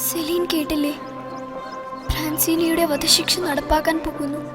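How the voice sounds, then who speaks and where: A high, soft actress's voice as a pious teenage girl in a cartoon, with a Malayali accent. She speaks tenderly and earnestly, with deliberate phrasing, over clear music. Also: little pitch movement, lightly acted. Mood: earnest, pained. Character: devout, tender, earnest fourteen-year-old girl.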